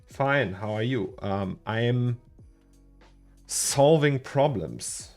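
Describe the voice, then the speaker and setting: A man's voice: medium pitch, very slow, a little mellow, faint music behind. A man with a German accent streaming his 3D work, chatting casually, thinking aloud and chuckling often. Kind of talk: casual livestream chat while working.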